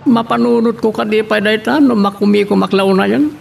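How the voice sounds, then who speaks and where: A man's voice: sits high, steady tone, a little fast, creaky, distant mic, a little hiss or hum. A man acting a middle-aged wealthy businessman and father in a Filipino radio drama, brusque and commanding, with a Filipino accent. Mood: curt, irritated.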